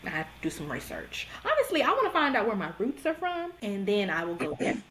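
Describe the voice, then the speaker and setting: A young woman from Georgia co-hosting a podcast, chatting casually in an animated, slangy way and laughing often. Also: mid-range voice, brisk, slight background noise. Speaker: podcast co-host. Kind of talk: casual chat.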